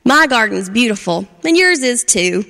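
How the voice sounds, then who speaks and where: A woman's voice: high-pitched, brisk, vocal fry, a little mellow, pitched above the performer's own voice. A woman voicing a young boy in a children's story who talks in an earnest, pleading way. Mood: joyful. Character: sad remorseful young boy gardener. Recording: long hall reverb.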